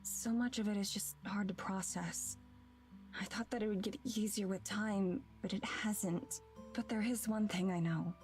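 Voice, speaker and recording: An actress voicing an earnest young woman in love in a visual novel, with soft, hesitant, heartfelt delivery. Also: medium pitch, fairly even intonation, measured pace, soft background music, natural voice. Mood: earnest, tender.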